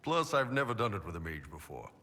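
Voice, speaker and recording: A hulking horned mercenary captain for a fantasy game. The voice sits low and is rough and husky. He talks bluntly and casually, with a dry, wry edge. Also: sing-song, fast talker, pushed and bright, heavily acted. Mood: fond, relaxed. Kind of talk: video game voice acting.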